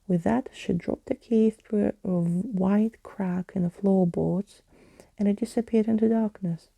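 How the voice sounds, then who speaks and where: A woman reading a children's story aloud in a soft ASMR style, often dropping into whispers. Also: mid-range voice, a little slow, gravelly, dry recording.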